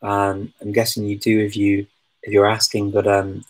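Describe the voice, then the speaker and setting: A man's voice: on the low side, completely flat delivery, moderate pace, low-quality recording. A man answering viewer questions in a casual live chat, talking hesitantly with many ums and restarts. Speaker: language-learning streamer answering viewer questions.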